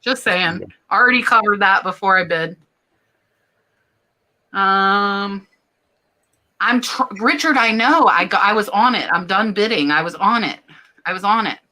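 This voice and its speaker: Live stream host, woman, bright forceful voice, chatty, reads comments. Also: medium pitch, unhurried, pauses often. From Florida. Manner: chatty, laughing, reading viewer comments.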